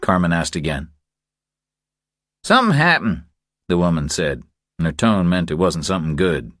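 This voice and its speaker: A man's voice: sits low, steady tone, a little fast, no room sound, studio quality. A man reading scripted thriller fiction as an audiobook narrator.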